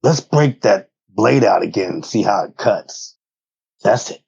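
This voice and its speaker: A man's voice: fairly low pitch, expressive, measured pace, creaky, darkish tone, husky, no room sound. A man playing a tabletop roleplaying game in casual, in-character table talk, on a slightly dull close recording.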